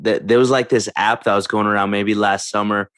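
A man in his twenties chatting casually in a relaxed, slangy way, calling his friend "bro". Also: fairly low pitch, brisk, no echo, clean studio sound. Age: 20s. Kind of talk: casual chat.

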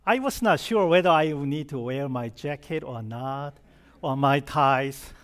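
A man's voice: medium pitch, a little slow, fairly bright tone. A middle-aged man from South Korea with a Korean accent, preaching a chatty, humorous sermon and asking the congregation questions. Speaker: pastor. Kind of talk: conversational sermon.

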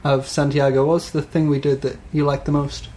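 A woman hosting a travel podcast who talks in a relaxed, hesitant way, leading the conversation. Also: low voice, a little fast, a little hiss or hum. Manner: relaxed, hesitant with many ums. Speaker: podcast host. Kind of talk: casual travel chat.

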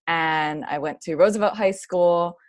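A young woman from Hawaii, a graduate student, talking in a friendly, relaxed way as a guest over a video call. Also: lowish voice, a little slow, dry recording, clean studio sound.

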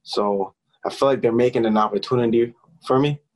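A nineteen-year-old male musician talking casually in an interview, halting, with many fillers and restarts. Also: medium pitch, steady tone. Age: young adult. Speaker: musician guest.

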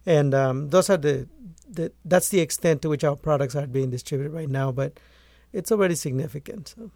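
Gravelly man from Guatemala. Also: a little high, fairly quick, darkish tone, no room sound, studio quality. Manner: earnest, restarts phrases often. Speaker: poultry farmer and author. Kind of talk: conversational interview answers.

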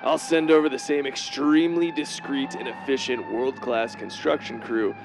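A man plays a suave cartoon billionaire uncle with a creaky, gravelly voice. He speaks in a measured, patronizing, patient way over clearly audible background noise. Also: mid-range voice, close to an everyday voice. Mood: caught out, resigned. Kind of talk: comedic cartoon voice acting.

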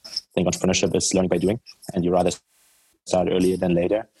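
A man's voice: deep voice, level tone, fast talker, warm tone, slightly rough, low-quality recording. A man from Germany with a German accent, answering conversationally as a guest in a podcast interview. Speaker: podcast guest, startup founder.

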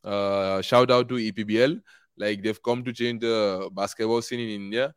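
A man's voice: lowish voice, steady tone, normal speed, smooth, no echo, studio quality. A young man from Nigeria, chatting earnestly as a podcast guest, rambling with fillers and easy laughs. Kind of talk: casual interview chat.

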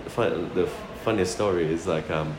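A man's voice: sits low, moderate pace. A man from Malaysia, a chef, telling anecdotes casually and informally in an interview, repeating phrases as he goes. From Malaysia (Sarawak), later New Zealand. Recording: roomy echo, loud background noise.

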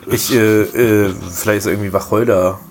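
A man's voice: fairly low pitch, fairly even intonation, normal speed, slight background noise. A man co-hosting a podcast, a bit under the weather, bantering and teasing in casual chat. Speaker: podcast co-host.

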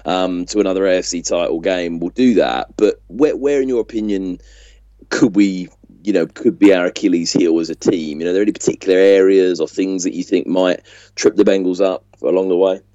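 A British man with a low, soft-edged voice chats about sport as a podcast host. He speaks conversationally and takes his time over a point. The recording is dull and lo-fi, with a dry sound. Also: fairly quick, no echo. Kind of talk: casual sports chat.

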